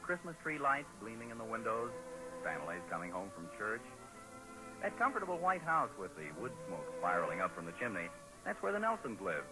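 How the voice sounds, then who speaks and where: A man's voice: lowish voice, very animated, a little fast, low-quality recording. Man, American accent, vintage radio announcer, scripted narration, very varied intonation, music behind.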